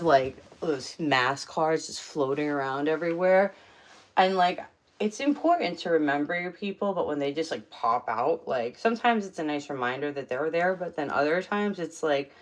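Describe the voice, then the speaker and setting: Low-voiced chatty woman streaming. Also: lively intonation, normal speed. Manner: rambling, chatty, many fillers. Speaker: livestream host. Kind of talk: casual live stream chat. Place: home room.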